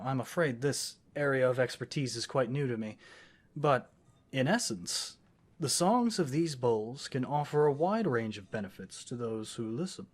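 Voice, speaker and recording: A man acts out a scholarly wizard boyfriend from a fantasy audio roleplay. He has a mid-pitched voice and talks in gentle, courtly phrases. His delivery is intimate and affectionate, as if to a beloved companion. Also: breathy edge.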